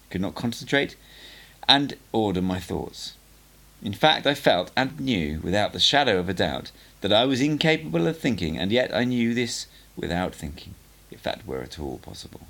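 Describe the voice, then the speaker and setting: Creaky low-voiced man reading a book aloud. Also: sing-song, normal speed, fairly bright tone. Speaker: amateur audiobook reader.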